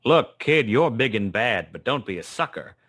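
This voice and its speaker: A man's voice: mid-range voice, big pitch swings, moderate pace, a bit bright. An actor playing a wry, terse private detective who narrates his own case in a noir radio play. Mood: cool, pressing. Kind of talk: 1940s noir radio play acting, first-person narration.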